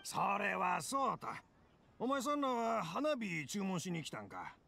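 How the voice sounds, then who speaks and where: Creaky old Kansai-accented father. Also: high voice, huge pitch swings, measured pace, very noisy, full character voice. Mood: mild, matter-of-fact. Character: elderly, gently worried father and fireworks craftsman. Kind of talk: Japanese anime game voice acting. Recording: music behind the voice.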